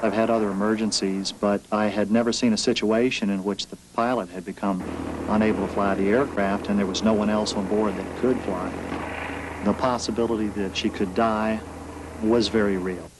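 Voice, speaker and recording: A man's voice: lowish voice, flat delivery, moderate pace. A man, an air traffic controller, recounting events in an interview. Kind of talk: interview recollection. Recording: clear background noise.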